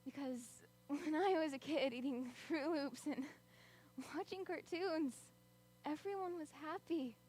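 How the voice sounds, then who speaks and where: A woman's voice: sits high, a little slow, slight background noise. A woman playing a bitter lockdown teen. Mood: wistful. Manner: wry and bitter, with quiet brooding stretches and sudden outbursts. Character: teenager stuck at home with fighting parents. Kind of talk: dramatic monologue.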